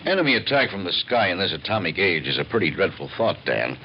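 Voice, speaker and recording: A man's voice: low-pitched, animated, fast. A man playing a sober government communications official, explaining things formally in a scripted 1950s radio interview. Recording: dull old radio recording, faint noise.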